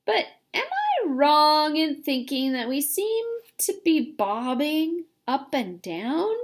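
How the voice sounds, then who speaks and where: A polite, fretful ladybug from a storybook, voiced by a woman. She speaks high and slowly, fussing anxiously over every worry. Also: huge pitch swings, full character voice.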